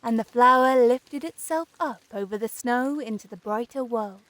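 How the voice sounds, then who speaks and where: A woman narrating a fairy tale as an audiobook reader, expressive, careful and measured. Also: a little high, dry recording, low-quality recording.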